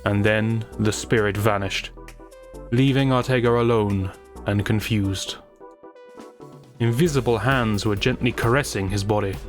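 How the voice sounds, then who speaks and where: A man narrating a scripted story in a wry, theatrical manner with deliberate phrasing. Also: on the low side, steady tone. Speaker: creator and narrator. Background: music.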